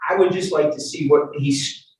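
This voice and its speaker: A man working as a defense lawyer in court, asking questions and making requests in a halting, repetitive way. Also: fairly high pitch, fairly even intonation. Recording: echoey courtroom, distant mic, faint noise.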